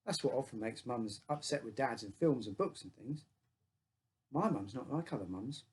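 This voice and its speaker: A man's voice: medium pitch, expressive, normal speed, darkish tone, a little hiss or hum. A man reading his own short story aloud as a first-person narrator, in simple childlike phrasing.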